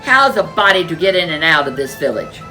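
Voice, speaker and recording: A woman's voice: deep voice, big pitch swings, fairly quick, sharp tone, soft background music, heavily acted, low-quality recording. A woman voicing a complaining village constable in a storybook folk tale. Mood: grumbling. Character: grumbling village constable man.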